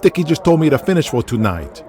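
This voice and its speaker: Man acting a high, hot-headed pro wrestler. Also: sing-song, fast, noisy background. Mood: furious. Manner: loud, indignant outbursts. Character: hot-headed betrayed male pro wrestler, muscular, foul-mouthed. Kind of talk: audio drama character acting.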